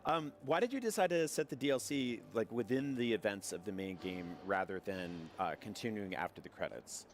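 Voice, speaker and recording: A man's voice: lowish voice, animated, moderate pace, slight background noise. A man interviewing game developers, asking chatty, friendly questions full of "like" and "um". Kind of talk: casual event interview.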